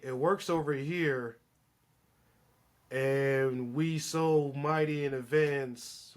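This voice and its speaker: A man's voice: a little high, pushed and bright. A man in a casual, opinionated podcast chat, speaking in an emphatic, slangy way.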